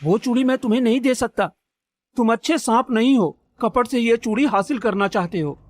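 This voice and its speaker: Young hero, cartoon fable, male actor: high-pitched, sincere, brave, emphatic. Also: brisk, slight background noise. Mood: defiant. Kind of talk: Hindi cartoon story voice acting.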